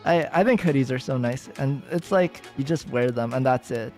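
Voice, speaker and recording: A man's voice: mid-range voice, normal speed, a little mellow, faint music behind. A young man with an American accent chatting with viewers on a livestream, rambling and giggly, full of fillers and restarts. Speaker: VTuber streamer. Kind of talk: casual livestream chat with viewers.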